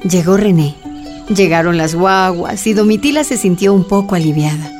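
Woman narrator for a radio play, lowish voice, scripted read, faint music behind. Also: normal speed.

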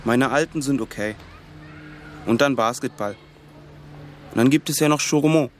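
Ein Schauspieler spielt in einem Hörspiel einen freundlichen, gewieften Jugendlichen aus der Siedlung, der lässig und umgangssprachlich redet. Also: male voice, medium pitch, moderate pace, natural voice. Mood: chummy, confiding. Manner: casual, slangy. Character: streetwise, friendly teenage boy from the housing estate. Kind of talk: radio drama dialogue. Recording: outdoor street with traffic noise.

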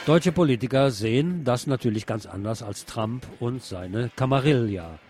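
A man's voice: medium pitch, moderate pace, music in the background. A man reading scripted news narration and commentary as a presenter.

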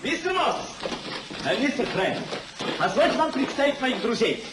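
Carefree young English gentleman, very high, swooping voice, theatrical radio-play delivery, echoey old recording. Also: big pitch swings, normal speed, distant mic, noisy background. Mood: merry, tipsy. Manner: theatrical, exuberant, declamatory. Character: merry spendthrift young English gentleman host, boisterous and carefree. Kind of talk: 1950s Soviet radio play acting.

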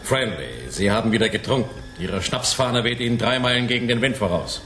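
An actor playing a stubborn, commanding military major in a radio drama, gruff and condescending. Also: male voice, medium pitch, brisk, fairly bright tone, slight background noise, natural voice. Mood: overbearing. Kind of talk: 1980s German radio drama acting.

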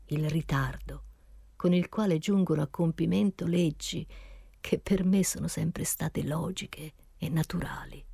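A woman as an aged, indignant constitution-maker looking back, her testimony husky, breathy and creaky. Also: deep voice, measured pace, warm tone, dry recording, full character voice. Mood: indignant, reflective.

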